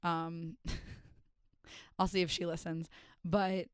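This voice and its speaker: Rich: A young woman with a lowish, hoarse, raspy voice rambles through a casual solo podcast, self-mocking and full of filler words. The sound is close and dry, with no room around it. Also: little pitch movement, drawn-out words, dry recording. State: hoarse, raspy voice after days of partying. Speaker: podcast host and stand-up comedian.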